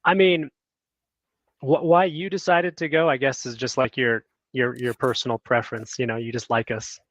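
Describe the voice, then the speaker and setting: A man, a sales director, chatting as a podcast guest in a relaxed, self-deprecating way, full of "like" and "you know". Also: mid-range voice, normal speed, no room sound. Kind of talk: casual interview chat.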